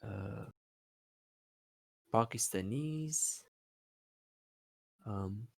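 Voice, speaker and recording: A man who is not a native English speaker, chatting casually in a halting way with repeated words and many fillers. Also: fairly low pitch, expressive, creaky, a little mellow, husky. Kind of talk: casual hesitant chat.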